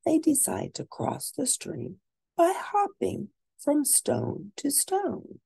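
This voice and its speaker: Lady reading a kids book out loud, mellow n gravelly, stretches words for emphasis. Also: high voice. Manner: expressive, drawn-out emphasis for young listeners. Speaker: storybook reader. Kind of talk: animated children's storybook read-aloud.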